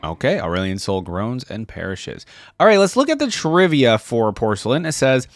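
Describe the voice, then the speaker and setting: A man's voice: medium pitch, wildly swooping pitch, moderate pace, no room sound, studio quality. A man hosting a reaction video, giving casual commentary in a conversational way. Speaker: host of a voice line reaction channel.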